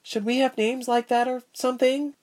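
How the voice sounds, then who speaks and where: Man doing a high, timid young woman. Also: flat delivery, normal speed. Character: tiny, timid, frightened young woman captive.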